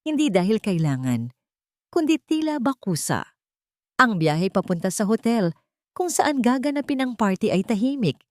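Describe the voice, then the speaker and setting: A woman's voice: mid-range voice, big pitch swings, moderate pace, dry recording, studio quality. A woman with a Filipino accent reading a scripted romantic story as narrator.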